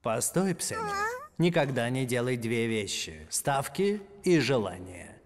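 A woman's voice: very deep voice, big pitch swings, measured pace, noisy background, exaggerated voice. A grumpy know-it-all baby boy. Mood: sarcastic. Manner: grumbling, sarcastic asides. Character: clever scheming baby boy, grumpy and bossy. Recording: studio voice with loud music behind.

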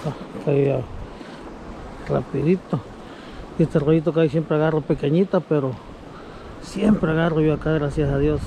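Man, chatty fishing vlog, slightly high voice, windy outdoor recording on a body camera. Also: normal speed, vocal fry, pauses often. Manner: chatty, addresses viewers as friends, excited when fish bite. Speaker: fishing vlogger. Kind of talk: casual outdoor commentary to viewers. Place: outdoors on a frozen reservoir and by a creek. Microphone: action camera worn on the body. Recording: wind and outdoor noise in the background.

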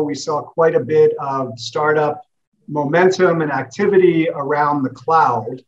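Guest: forties man, a bit high, measured and data-driven, muffled call audio. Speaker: startup founder. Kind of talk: video call interview. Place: office room. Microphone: video call. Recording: dull, lo-fi video call audio.

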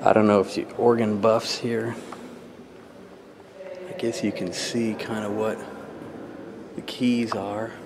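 A man films a casual walk-through and comments as he goes, sounding quietly awed. His voice sits on the low side and he speaks very slowly, with clear noise behind him on the handheld camera audio. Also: little pitch movement. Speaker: amateur videographer and music fan. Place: inside a church beside the organ. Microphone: handheld camera, operator behind it.

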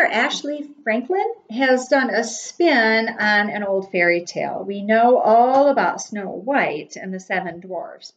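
High, lilting woman reading a storybook. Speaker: preschool teacher. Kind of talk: children's picture book read-aloud. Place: small wood-panelled room indoors. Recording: dull webcam audio with faint noise.

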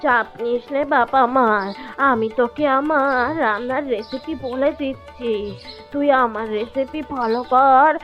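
High-pitched pleading old granny, acted by a woman. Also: unhurried, lo-fi sound, faint music behind. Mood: kindly, coaxing. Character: frail old village granny, pleading and kindly. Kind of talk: cartoon voice acting.

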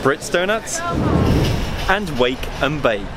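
A man's voice: on the high side, big pitch swings, slow, a loud crowd. A man hosting a casual travel vlog outdoors, talking upbeat to a handheld camera. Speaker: vlog host. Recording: loud music under the voice.

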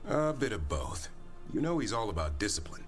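An actor playing a steady, dry-humoured young warrior warden in video game dialogue. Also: male voice, fairly low pitch, a little fast, soft background music. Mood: teasing, understanding.

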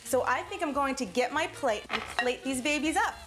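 A woman's voice: sits high, lively intonation, normal speed. Upbeat woman hosting a cooking show. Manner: upbeat, explains each step with rhetorical questions. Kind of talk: scripted TV cooking demonstration. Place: home-style studio kitchen, indoors.

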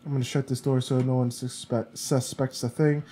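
A man's voice: medium pitch, little pitch movement, normal speed, warm tone, slight background noise. A sniffly, congested man giving casual gameplay commentary, muttering to himself as he plays. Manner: muttering to himself while playing. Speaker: let's play gamer.

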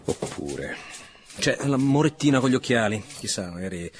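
An actor playing a driven, cynical police commissioner in an Italian wartime radio drama, brisk and sardonic, thinking aloud. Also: male voice, medium pitch, very animated, a little hiss or hum, close to an everyday voice. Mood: brisk, pondering.

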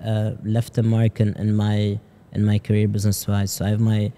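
A man in his thirties from Lebanon talks through his work and daily routine in a halting way, doubling back on words. His low voice stays flat and very dark. He is close on a boom-arm studio mic in an office lounge. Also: a little hiss or hum. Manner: halting, repeats words, quick bursts. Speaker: startup CEO guest. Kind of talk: casual interview answers. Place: office lounge podcast set.

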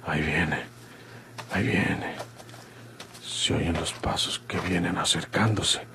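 An actor playing a bold, hot-tempered young outlaw with a rural Mexican accent and an emphatic rustic manner, in an old radio drama. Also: male voice, low voice, fairly even intonation, takes it slowly, background noise. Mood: hushed, wary. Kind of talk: golden-age Mexican radio drama acting.

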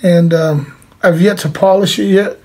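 A middle-aged man with a noticeably high-pitched voice talks through a hands-on demonstration. He is informal and plain-spoken. The home recording has clear background noise, picked up by a camera across the table. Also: fairly even intonation, measured pace, darkish tone. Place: indoors, small room with cloth backdrop.

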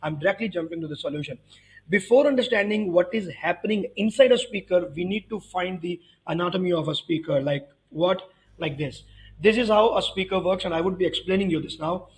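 A man's voice: high-pitched, fairly quick, poor audio. A man in his thirties giving an explanatory physics tutorial, emphatically repeating key phrases. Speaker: physics teacher.

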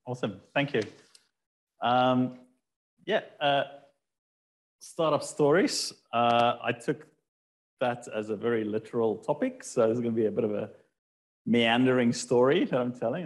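A South African man in his forties telling a meandering, relaxed story of his career as a startup founder at a live talk. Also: mid-range voice. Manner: meandering, relaxed, with fillers and light laughs. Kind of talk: casual storytelling presentation. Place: event hall talk.

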